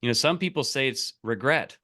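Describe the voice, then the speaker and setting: A man's voice: medium pitch, animated, fairly quick, bright, forceful tone. A man coaching on a call in a casual, emphatic and direct way, salesy and often checking in with the listener. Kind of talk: casual coaching, explaining and giving example scripts.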